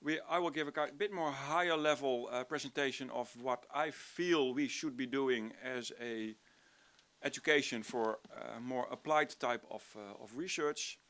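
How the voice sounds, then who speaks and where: A middle-aged man speaks with a Dutch accent in a mid-range voice. He presents informally, like a lecturer at a conference. His delivery is halting, as he restarts phrases and searches for words in English. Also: medium pitch, fairly bright tone. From Netherlands. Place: conference room, before an audience.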